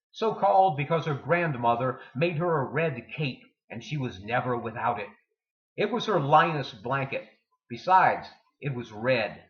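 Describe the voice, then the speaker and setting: A man giving a dramatic poetry reading with deliberate, theatrical delivery and emphatic pauses. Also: mid-range voice. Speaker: poetry reader. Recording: dull lo-fi sound in echoey room.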